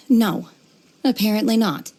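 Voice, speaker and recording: A woman voicing an aloof, blunt exorcist in an English game dub, deadpan and measured. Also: mid-range voice, background noise, natural voice. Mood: detached, frank. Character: aloof, blunt female exorcist, calmly menacing and unworldly.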